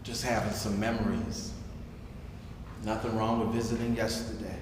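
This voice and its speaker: A man acting a wise, motherly party hostess with a gentle, folksy manner, in a staged reading in an echoey hall. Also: fairly low pitch, little pitch movement, moderate pace, natural voice. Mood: gentle, wry. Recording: very echoey hall, noisy live stream.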